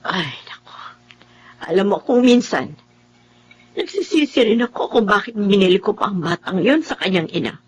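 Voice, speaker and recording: Earnest Filipino aunt figure for TV drama: husky mid-pitched voice, regretful and deliberate. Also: female voice, lively intonation, a little mellow. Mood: worried, regretful. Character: Helen, earnest, regretful older relative of a troubled family.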